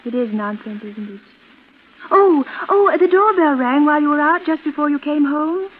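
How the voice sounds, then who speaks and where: Woman playing a high-voiced, timid, childlike middle-aged woman. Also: normal speed, lightly acted. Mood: anxious, confiding. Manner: timid, rambling, apologetic, girlish. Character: fragile, childlike woman nearing forty, timid and wistful. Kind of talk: 1940s radio suspense acting. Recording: muffled, noisy vintage radio recording.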